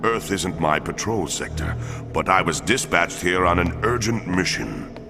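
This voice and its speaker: A very deep, grave four-armed alien lawman. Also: long reverb tail. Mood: formal. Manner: formal, measured, commanding. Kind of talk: video game cutscene acting. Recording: game audio with music underneath.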